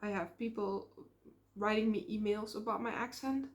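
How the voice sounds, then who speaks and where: A young woman with a Dutch accent, talking to camera in a casual vlog, earnest and reflective, often pausing on fillers. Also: medium pitch, steady tone. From Netherlands. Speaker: small YouTube creator.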